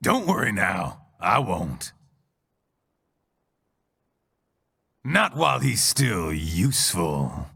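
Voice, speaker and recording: An actor playing a smug, ruthless mercenary warmonger in a video game, with a gravelly, mocking, theatrically menacing delivery. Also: male voice, deep voice, very animated, slow pace, big-room sound, full character voice. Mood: taunting. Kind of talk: dramatic video game cutscene acting.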